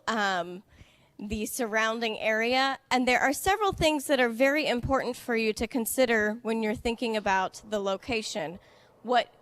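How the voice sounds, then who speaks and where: A woman speaks slowly and clearly into a microphone, pausing to check her audience follows. Her voice sits a little high, and the sound is dry with little room echo. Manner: clear, patient, checks understanding. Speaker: international student services director. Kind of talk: slow, simple presentation for English learners.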